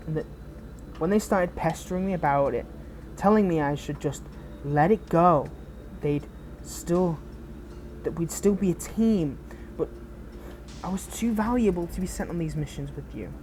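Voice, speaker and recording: Woman acting a low, mellow betrayed fallen hero. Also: slight background noise, lightly acted. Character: betrayed fallen hero, protective, bitter, caring mentor. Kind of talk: scripted audio roleplay addressed to the listener.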